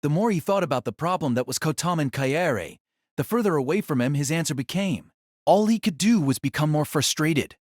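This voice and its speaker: A man reading scripted, dramatic novel narration as an audiobook narrator, in a measured, formal way. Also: on the high side, animated, a little mellow, no room sound, clean studio sound.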